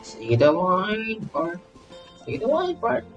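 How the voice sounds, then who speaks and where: A woman's voice: fairly low pitch, wildly swooping pitch, slow pace, muffled recording. A woman game streamer giving casual let's play commentary, playfully repeating phrases over game music. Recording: loud game music behind.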